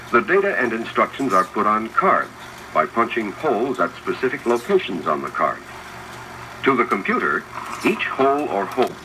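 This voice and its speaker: A man reading scripted, instructive narration for a 1970s educational film, addressing the viewer directly. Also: fairly low pitch. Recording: noisy 1970s 16mm film soundtrack, projector whir. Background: mechanical projector noise.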